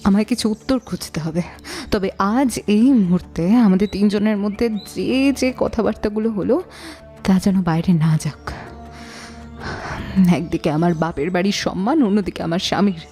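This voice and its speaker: An actress playing a wronged, indignant married sister in an audio drama, accusing and insistent. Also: female voice, medium pitch, normal speed, warm tone, faint music behind, lightly acted. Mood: hurt, resolute. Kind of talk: audio story drama acting.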